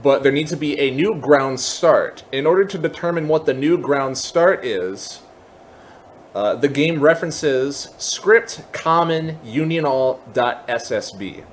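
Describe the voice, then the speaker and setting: A man hosting a casual software tutorial, explaining things step by step in an informal way. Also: on the high side, unhurried, slight background noise. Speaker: tutorial host.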